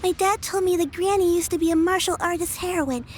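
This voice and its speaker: A woman's voice: extremely high pitch, a little fast, a little hiss or hum. A woman voicing a sweet, resilient, polite young child in a video game, speaking earnestly with some hesitation. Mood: hesitant, grateful. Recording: music behind the voice.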